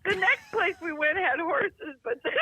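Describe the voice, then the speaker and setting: A woman's voice: very high-pitched, a little slow, very noisy. A woman chatting casually over a phone call as a guest, often laughing through her words. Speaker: host's sister, phone guest. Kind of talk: casual chat.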